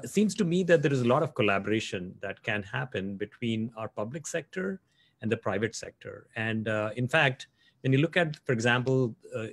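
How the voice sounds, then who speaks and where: A man's voice: medium pitch, no echo. A man in his forties hosting a video call interview, earnest, with frequent "you know". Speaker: host. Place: home office.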